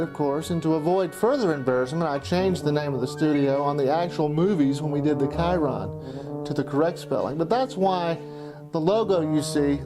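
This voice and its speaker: A man narrating a personal look back as a documentary storyteller and amateur filmmaker. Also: fairly high pitch.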